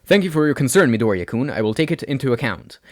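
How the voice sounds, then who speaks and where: A man's voice: medium pitch, very animated, quick pace, pitched above the performer's own voice, natural voice. A man voicing a serious, blunt teenage boy who talks in a formal, precise way in an audio story. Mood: polite, curious.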